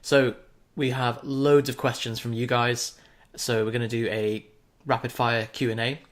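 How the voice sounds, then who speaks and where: A man hosting a casual podcast, briskly introducing the guest. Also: medium pitch, little pitch movement. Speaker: podcast host.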